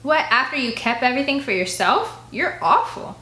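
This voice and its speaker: A woman voicing an excitable, indignant young girl in an audiobook. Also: high voice, moderate pace, echoey room, pitched above the performer's own voice.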